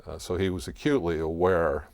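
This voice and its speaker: A man speaking as an expert guest in an interview, explaining in a halting, deliberate, scholarly way. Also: low-pitched, fairly even intonation, a little mellow, no room sound.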